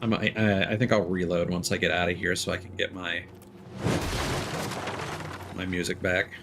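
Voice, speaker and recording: A young man with a fairly low voice chats loosely while he plays, drifting from thought to thought with plenty of "uh" and "like". He stays relaxed but now and then jumps up into a sudden excited burst. A constant hiss of background noise sits under his voice. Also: normal speed. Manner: rambling, thinking aloud with many fillers. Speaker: game streamer. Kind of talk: casual gameplay commentary.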